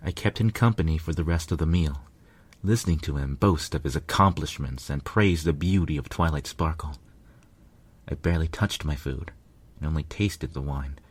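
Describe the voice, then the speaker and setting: A man narrates a first-person story in a deep, low voice. His reading is scripted fiction, with music playing behind him. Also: breathy edge.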